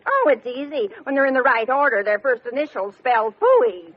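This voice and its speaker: A droll, matter-of-fact housemaid of middle age in an old radio comedy. Her high voice has a lively lilt. It is a muffled, noisy recording with audience sound. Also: moderate pace. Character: droll, plain-spoken middle-aged housemaid. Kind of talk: 1940s-50s radio sitcom acting.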